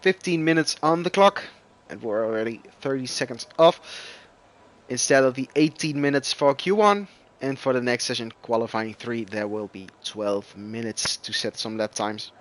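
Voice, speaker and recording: A man whose first language is Dutch, commentating live on an online racing game with excitable bursts. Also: mid-range voice, moderate pace. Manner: excitable, rising loud bursts at crashes. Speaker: esports race commentator.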